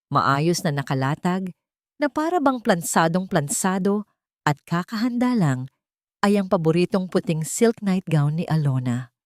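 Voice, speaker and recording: A woman's voice: fairly low pitch, no echo, studio quality. A woman with a Filipino accent narrating a dramatic story as a scripted audiobook.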